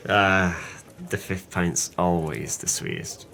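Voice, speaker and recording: A low-pitched, merry drunken seaman in an audio drama. He speaks in grand, flowery phrases and boasts heartily, like a penniless man delighted with himself. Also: lively intonation, takes it slowly, gravelly, fairly bright tone, full character voice. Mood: musing, mildly aggrieved. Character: jovial, tipsy, impoverished former sailor, florid and boastful. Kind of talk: audio play acting.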